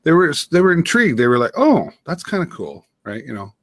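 A middle-aged man co-hosting a casual video call, talking with animated gestures and frequent restarts. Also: on the high side, fairly quick, no room sound. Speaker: co-host and teacher. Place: home room with framed pictures. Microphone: webcam mic on a video call.

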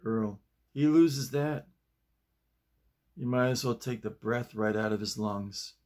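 An older man around seventy with a plain, halting delivery, talking and reading at his desk at home. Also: lowish voice, a little mellow. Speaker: YouTube host and playwright reading own work. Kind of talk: script reading and casual vlog talk. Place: small home office, indoors.

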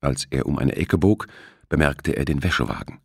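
A man's voice: very deep voice, moderate pace, vocal fry, breathy edge, no echo, clean studio sound. A man reading a novel aloud as a scripted audiobook narration.

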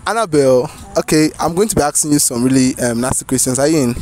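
A man in his twenties with a Nigerian accent, teasing and animated as he hosts a casual street interview. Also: medium pitch, fast talker, a little hiss or hum. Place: outdoors on a park path.

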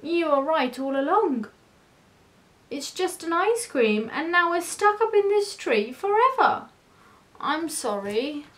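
A woman voicing a shy, flustered male cat in a storybook, squeaky and hesitant, as if bluffing. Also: very animated, measured pace, hardly any pauses. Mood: sheepish, sad. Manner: hesitant, stammering.

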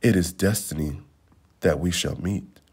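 A man's voice: low-pitched, measured pace, darkish tone, husky, voice pushed down, exaggerated voice. A man plays a noble young storybook prince. He is earnest and determined, speaking with formal conviction. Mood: tender.

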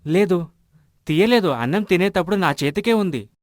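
Earnest village husband, slightly high voice, a man acting. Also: lively intonation, normal speed, dry recording, studio quality. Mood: concerned. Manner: earnest, explanatory. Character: earnest, generous village husband missing a ring. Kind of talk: animated moral story dialogue.

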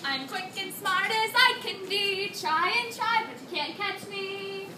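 A young woman playing a small, cheeky, quick-witted mouse deer in children's readers theatre, bold, exaggerated and singsong. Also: extremely high pitch. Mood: gleeful. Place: classroom, recorded by a far camera. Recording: echoey classroom from far off, noisy.

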